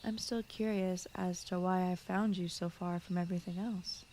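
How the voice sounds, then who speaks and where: A woman voicing a gentle, shy fox spirit who guards a forest, speaking softly and often in whispers in a soothing bedtime ASMR roleplay style. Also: on the low side, fairly even intonation, normal speed, a little hiss or hum, lightly acted. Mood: shy, rambling. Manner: soft-spoken, often slipping into whispers, rambling, apologetic. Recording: clear night cricket and forest ambience. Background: crickets, insects, night forest ambience.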